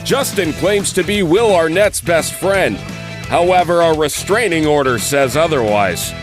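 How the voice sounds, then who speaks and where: Male intro announcer, mock-dramatic, slow delivery with big pitch swings, music bed. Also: lively intonation. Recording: music under the voice. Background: guitar music.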